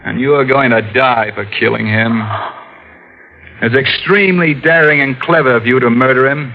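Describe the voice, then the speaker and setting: Man voicing a stern district attorney, crisp, measured, accusing, dull old radio sound. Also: medium pitch, background noise, natural voice. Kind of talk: 1940s radio acting. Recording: muffled old radio broadcast.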